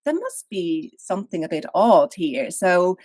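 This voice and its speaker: A woman in her thirties, originally from Switzerland, speaking as an interview guest and telling a personal story in a candid, animated way over a video call. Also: on the low side, unhurried, no echo, studio quality.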